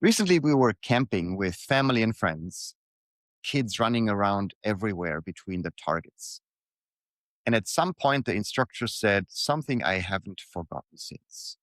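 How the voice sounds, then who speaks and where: A man reading his own reflective motivational piece aloud in deliberate short phrases, leaning on repetition for emphasis. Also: lowish voice, lively intonation, dry recording.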